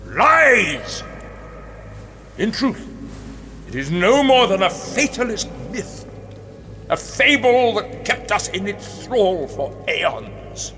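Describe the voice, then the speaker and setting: Grandiose rabbit ruler, slow oratory. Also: male voice, high voice, heavily acted. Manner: slow, emphatic, dramatic pauses. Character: grandiose, menacing rabbit ruler addressing his citizens. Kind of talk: theatrical public oration. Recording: long hall reverb, music underneath.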